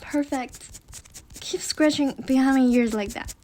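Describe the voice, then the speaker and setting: A woman plays a needy wolf girlfriend with a high voice and slow, drawn-out delivery. She is bossy yet affectionate, pouting and teasing in an intimate roleplay audio aimed right at the listener. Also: a little mellow. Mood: smug, cuddly. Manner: pouty, pleading, teasing. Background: computer keyboard typing.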